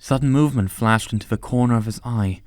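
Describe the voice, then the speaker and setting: A man's voice: mid-range voice, fast, a little mellow, no echo. A man narrating an audiobook story from a script.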